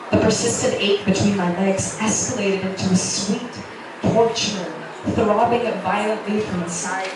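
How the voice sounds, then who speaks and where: Woman reading fiction in an echoey room. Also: medium pitch. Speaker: narrator reading a romance novel excerpt aloud. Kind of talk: first-person dramatic literary reading. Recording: roomy echo, distant mic, noisy background.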